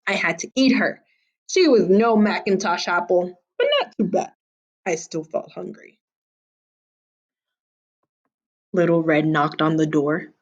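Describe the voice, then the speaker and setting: A woman's voice: lowish voice, normal speed, lo-fi sound, natural voice. A woman voicing a sly, hungry storybook wolf who narrates his tale to children in a wry, theatrical, confiding way. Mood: hungry, scheming.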